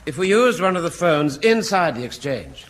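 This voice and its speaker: Mild, lilting upper-class English sergeant. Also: male voice, on the high side, big pitch swings, moderate pace, a bit bright. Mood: deferential. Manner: polite, diffident, languid. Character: mild, polite, well-bred English sergeant, gently deferential. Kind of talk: British sitcom acting before an audience. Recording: noisy old radio comedy recording.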